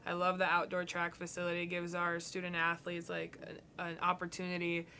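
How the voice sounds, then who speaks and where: Bright-voiced young Minnesotan woman. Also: lowish voice, monotone, a little fast, a little hiss or hum. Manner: upbeat, chatty. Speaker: head track coach. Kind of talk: casual interview answers.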